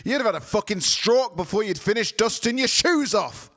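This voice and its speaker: A man voicing a gruff, foul-mouthed powerful wizard with a thick accent, sarcastic and swearing. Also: on the high side, animated, a little fast, voice pushed up. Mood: ranting. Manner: sarcastic, swearing, loud ranting.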